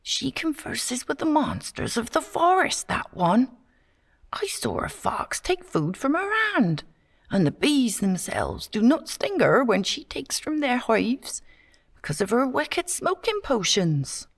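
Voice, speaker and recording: Elderly village accuser, storybook tale, played by a woman: high, hushed, fearful and finger-pointing. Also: very animated, slow pace, heavily acted. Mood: accusing, fearful. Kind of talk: dramatised storybook reading.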